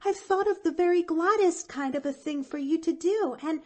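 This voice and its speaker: A woman's voice: very high voice, normal speed, voice pushed up. A woman reading an audiobook, voicing an earnest little girl who stammers and pleads. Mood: pleading eager. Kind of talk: audiobook character voice.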